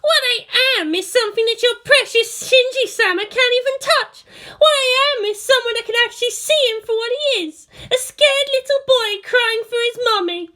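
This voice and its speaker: A woman's voice: very high-pitched, normal speed, hardly any pauses, bright, forceful tone, voice pushed up. A woman voicing a proud, hot-tempered teenage girl pilot who rants with snarling scorn in an audiobook-style story reading. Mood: scornful. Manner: snarling, indignant ranting.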